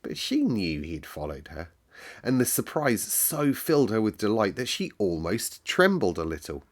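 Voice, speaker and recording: A man's voice: fairly low pitch, moderate pace. A man hosting storytime, reading expressively and talking informally to his listeners. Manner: animated, informal, addresses listeners directly. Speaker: storytime reader and host. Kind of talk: expressive children's storybook read-aloud with chatty asides.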